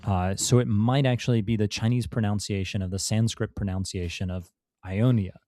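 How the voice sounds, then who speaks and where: A man's voice: on the low side, fairly even intonation, a little fast, warm tone, no echo. A man, a podcast guest and author, telling a history story in casual talk, with many fillers and restarts. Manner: rambling, many fillers and restarts, laughs now and then.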